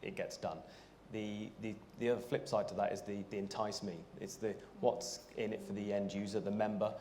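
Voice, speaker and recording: Fast-talking guy on a panel, lowish voice, faint hall noise. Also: level tone, a little mellow. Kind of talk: conference panel answers. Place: conference hall.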